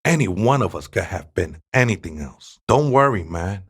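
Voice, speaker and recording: A man's voice: sits low, lively intonation, moderate pace, fairly bright tone, breathy edge, full character voice. An actor playing a serene, devout ex-gang man with a Mexican accent who talks in laid-back, reverent street slang for a video game. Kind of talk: video game character dialogue.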